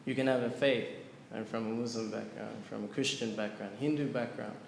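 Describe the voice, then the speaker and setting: A man's voice: fairly low pitch, fairly even intonation, brisk, vocal fry, a little hiss or hum. A man teaching a devotional scripture class to a live audience, explaining earnestly and putting questions to listeners. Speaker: lecturer on scripture. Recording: echoey hall with long reverb, faint ambience.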